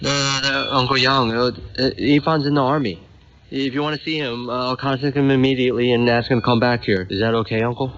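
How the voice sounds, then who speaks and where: A man's voice: medium pitch, steady tone, a little fast, a bit bright, lightly acted. An actor playing an anxious, hesitant married son who stammers as he soothes his family in a radio drama. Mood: nervous, soothing. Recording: muffled, noisy radio capture.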